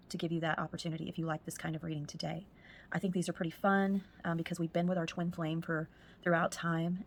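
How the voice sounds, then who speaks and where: A woman with a husky, hoarse voice doing a spiritual tarot reading as a casual monologue, speaking softly and sometimes close to a whisper. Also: lowish voice, slight background noise. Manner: soft-spoken, drifts into whispers at times. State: hoarse, losing her voice. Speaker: tarot reader.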